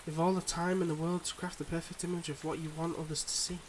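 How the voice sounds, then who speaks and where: Man acting a higher-voiced, smooth mob boss boyfriend. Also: flat delivery, fast talker, noisy background, lightly acted. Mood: charming, relaxed. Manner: smooth, confiding, teasing, speaking to a listener. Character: suave, secretive young male mob boss, devoted online boyfriend. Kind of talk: intimate ASMR boyfriend roleplay.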